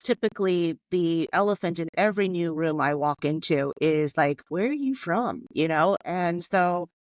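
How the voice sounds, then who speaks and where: A woman's voice: fairly low pitch, lively intonation, moderate pace, no echo, clean studio sound. A woman in her forties, originally from Hong Kong, speaking as a podcast guest and telling her life story in a candid, reflective way. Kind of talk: conversational podcast interview.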